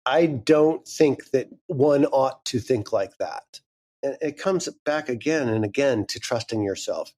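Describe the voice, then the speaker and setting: A man's voice: mid-range voice, expressive, a little slow, darkish tone. Frank, candid man in his fifties. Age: 50s. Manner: frank, candid, swears casually. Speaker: guest, audio drama creator. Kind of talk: casual interview chat.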